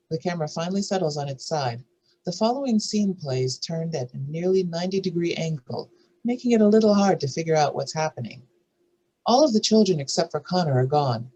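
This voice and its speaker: A woman with a husky voice narrating screenplay stage directions in a scripted reading. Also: sits low, dark tone, low-quality recording.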